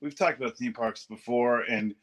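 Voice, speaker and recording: A man's voice: mid-range voice, normal speed. A man from Southern California hosting a podcast over a video call, talking in a laid-back, slangy way with lots of "dude.". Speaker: podcast host.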